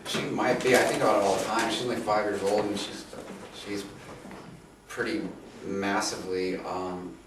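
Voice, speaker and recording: A man in his thirties, a band member, answering interview questions in a laid-back, halting way that often trails off. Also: lowish voice, level tone. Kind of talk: casual interview chat. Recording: echoey room, far from mic, background noise.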